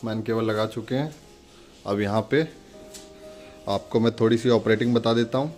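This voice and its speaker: A man's voice: fairly low pitch, normal speed, a little mellow, soft background music. An Indian man giving a casual step-by-step product demonstration, instructing viewers in a friendly, direct address.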